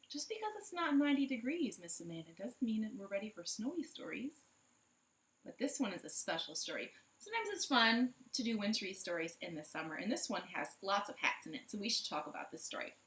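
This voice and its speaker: A woman hosting a children's storytime, telling stories playfully and putting questions to her young listeners, recorded in a living room. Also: mid-range voice. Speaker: library storytime host. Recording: roomy home echo.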